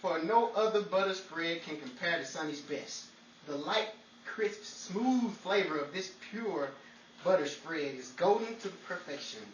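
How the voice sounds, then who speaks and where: A man playing an upbeat, smooth-talking 1940s radio host and announcer, theatrical and salesmanlike. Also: high voice, lively intonation, unhurried, distant mic. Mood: salesmanlike relish. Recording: echoey room, off mic, faint noise.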